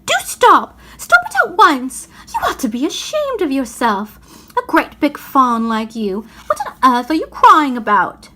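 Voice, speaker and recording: A woman's voice: high voice, huge pitch swings, measured pace, sharp tone, a little hiss or hum, voice pushed up, full character voice. A woman reading a storybook, voicing an earnest, anxious and kind little girl who pleads and exclaims. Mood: scolding.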